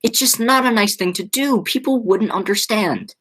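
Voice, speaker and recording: A teenage girl playing a flustered, exasperated man in a school radio play, stammering and halting as she speaks. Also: mid-range voice, a bit bright, slightly rough. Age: teens. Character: flustered, exasperated male theater critic and nephew.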